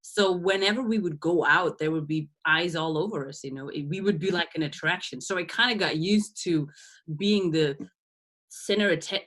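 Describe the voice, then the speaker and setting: A woman in her thirties from Belgium, a podcast guest talking through her past in a reflective way, with frequent laughs and restarts. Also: on the low side. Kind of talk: casual interview.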